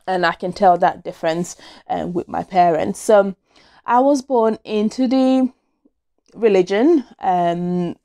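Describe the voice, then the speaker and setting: A woman's voice: medium pitch, darkish tone. Chatty young woman from Nigeria. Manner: chatty and open, with fillers and self-corrections. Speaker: vlogger. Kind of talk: casual personal storytelling to camera. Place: small indoor room against a plain wall. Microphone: small clip-on lapel mic.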